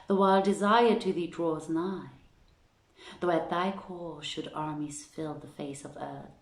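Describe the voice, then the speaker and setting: A lustful, wrathful man of an Arabian tale voiced by a woman storyteller declaims archaic love verse. The delivery is low and deliberate, with audible room echo. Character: enraged, lustful male slave in an Arabian tale. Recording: roomy home livestream echo.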